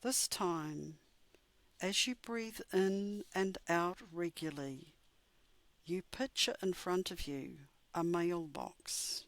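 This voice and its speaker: A woman leading a scripted guided meditation in a soothing, measured, instructive way. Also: fairly low pitch, no echo.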